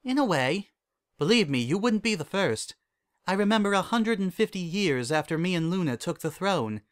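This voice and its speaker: Man voicing a gentle regal pony princess, pitched up. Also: sing-song, normal speed. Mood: composed, amused. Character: calm, kindly royal pony princess and mentor, female. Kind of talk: storybook character dialogue.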